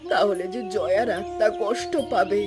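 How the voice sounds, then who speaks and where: A woman's voice: mid-range voice, normal speed, lightly acted. A woman voicing an older village aunt who is stern and caring, scolding yet motherly in her way of talking. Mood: consoling. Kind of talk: Bengali cartoon dubbing. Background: loud background music.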